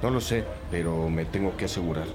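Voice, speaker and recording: A man's voice: low voice, fairly quick, background noise, natural voice. A man playing a brusque, commanding police team leader in a scripted audio drama, curtly giving orders. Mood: businesslike. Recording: loud music bed under voice.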